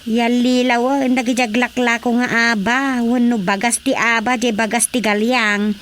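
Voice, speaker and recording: An actress playing a gossipy village woman in a radio comedy, chatty and expressive, with an Ilocano accent. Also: fairly high pitch, measured pace, a little hiss or hum. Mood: chatty, amused.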